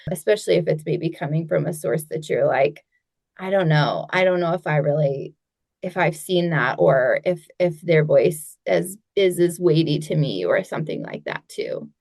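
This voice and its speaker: A woman's voice: lowish voice, gravelly, a little mellow, dry recording. A woman coach speaking as a podcast guest, reflective and thinking aloud in a loose, casual way.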